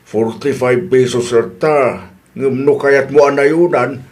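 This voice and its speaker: A man's voice: mid-range voice, fairly even intonation, slow, darkish tone, lightly acted. A man with an Ilocano accent playing a reasonable husband who questions and reproves, in a comedy radio drama. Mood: matter-of-fact. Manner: remonstrating, questioning.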